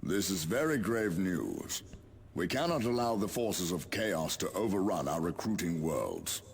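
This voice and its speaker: An actor playing a grave, authoritative commander in a video game, speaking solemnly. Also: male voice, low-pitched, sing-song, a little slow, creaky, full character voice. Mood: stern, grave. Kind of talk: dramatic video game acting.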